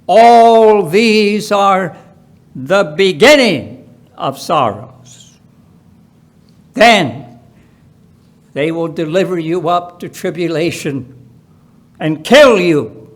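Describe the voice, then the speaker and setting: A man reading scripture aloud in a solemn, deliberate way, with emphatic phrasing and pauses. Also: sits high. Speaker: Bible reader at a church.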